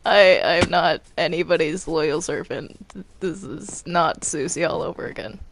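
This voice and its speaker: Slow, creaky-voiced woman gaming. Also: sits low, a little hiss or hum, low-quality recording. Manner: hesitant, stammering, repeats words. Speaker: gamer in a group roleplay. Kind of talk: casual improvised gameplay chat.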